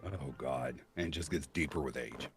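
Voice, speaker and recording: A man's voice: very deep voice, normal speed, faint music behind. A man telling funny stories in a casual stream chat, laughing often. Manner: animated, laughing storyteller. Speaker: stream guest.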